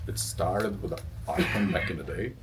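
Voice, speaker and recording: Man, deep pitch, halting live product talk, distant microphone, noisy exhibit room. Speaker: loudspeaker company presenter. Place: indoor exhibit room at audio show.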